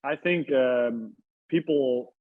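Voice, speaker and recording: A man's voice: medium pitch, takes it slowly. A man from Italy chatting casually as an interview guest, hesitant and repeating his phrases, with thin phone-like sound. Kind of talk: casual chat.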